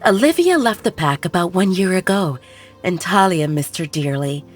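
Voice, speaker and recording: A woman reading a romance story aloud as a calm, even narrator. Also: fairly low pitch, normal speed, soft background music. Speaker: audiobook narrator.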